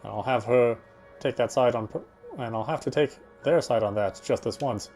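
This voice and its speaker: A man's voice: mid-range voice, moderate pace, darkish tone, soft background music, close to an everyday voice. A man playing a wry, self-justifying British husband, confiding his brooding inner monologue as first-person game narration. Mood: resigned, reflective.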